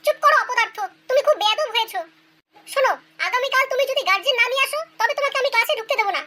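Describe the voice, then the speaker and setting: Someone doing a strict lady teacher from a comedy cartoon, super high voice, rattles along fast, bossy. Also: noisy background. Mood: scolding. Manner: bossy, scolding, questioning. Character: strict scolding female schoolteacher in a comedy cartoon. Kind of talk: animated comedy skit dialogue.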